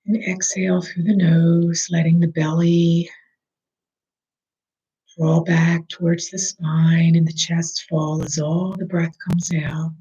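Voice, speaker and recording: A meditation guide leading a slow, soothing breathing meditation, speaking softly and at times almost in a whisper. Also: medium pitch, little pitch movement, muffled recording.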